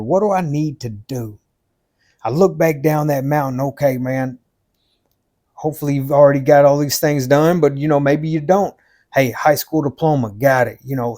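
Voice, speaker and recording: A man's voice: medium pitch, warm tone. A man in his fifties giving blunt, motivational life advice on a podcast. He repeats his points for emphasis and keeps calling the listener "man" and "dude". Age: 50s.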